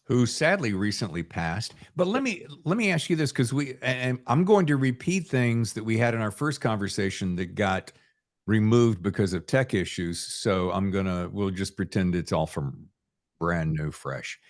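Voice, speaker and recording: A man's voice: lowish voice, animated, normal speed. A man hosting a podcast in a casual, chatty way, with a jokey, informal manner and wandering asides. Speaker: podcast host.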